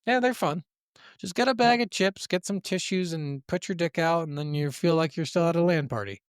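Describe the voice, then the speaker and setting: A man's voice: on the high side, brisk, smooth, dry recording, studio quality. A man co-hosting a gaming podcast, chatting casually and bluntly with jokes and profanity. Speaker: podcast co-host.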